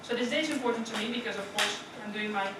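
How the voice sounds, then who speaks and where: A woman's voice: a little high, racing. A young Dutch woman giving a prepared speech to a club, chatty and putting questions to the audience. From Netherlands. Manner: chatty, asks the audience questions, often adds "right?". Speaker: club speaker. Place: meeting room. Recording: echoey room from far off with noise.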